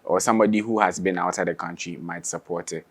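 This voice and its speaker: Young man, low-voiced interview guest. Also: fast. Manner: relaxed, laughs often, restarts sentences. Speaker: singer and guitarist guest. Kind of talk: casual interview chat.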